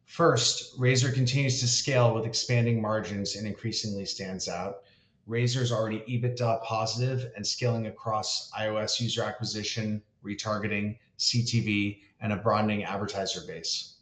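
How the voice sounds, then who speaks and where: A man speaking as a company founder and chief executive, reading prepared earnings call remarks in a formal, measured way. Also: on the low side, steady tone. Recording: echoey, roomy sound.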